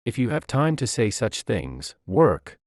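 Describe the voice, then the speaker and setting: A man's voice: mid-range voice, sing-song, a little slow, dry recording, studio quality, pitched above the performer's own voice. A man voicing an arrogant, exploitative male company director who is curt and dismissive, in a narrated story. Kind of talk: quoted dialogue in story narration.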